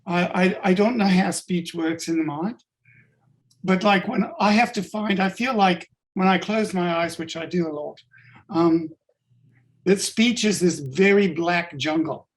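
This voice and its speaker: Halting man with a South African accent. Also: high voice, low-quality recording. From Canada. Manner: halting, hesitant, many fillers and restarts. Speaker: workshop leader. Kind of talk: personal account in group discussion.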